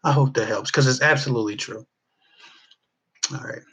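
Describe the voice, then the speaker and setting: A man's voice: medium pitch, moderate pace, fairly bright tone, husky. An American man from the Midwest giving casual, unscripted advice to viewers in an emphatic, rambling way, repeating words to drive his points home. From Midwestern US (Ohio). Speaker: relationship advice vlogger.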